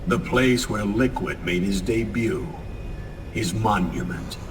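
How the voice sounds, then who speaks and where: A grave older military commander in a game. His low voice moves slowly and solemnly, set slightly away from the mic amid rumbling vehicle noise. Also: big-room sound, distant mic. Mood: grave urgency. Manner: measured, solemn briefing. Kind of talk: dramatic video game cutscene acting.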